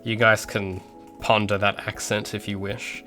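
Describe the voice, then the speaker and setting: Aussie guy with a slightly american lilt, indie dev chatting on stream, lowish bright voice, lots of ums. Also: level tone, a little slow, soft background music. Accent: Australian with some American sounds. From Australia. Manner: rambling, many fillers and restarts. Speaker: indie game developer streaming. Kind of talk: casual livestream chat.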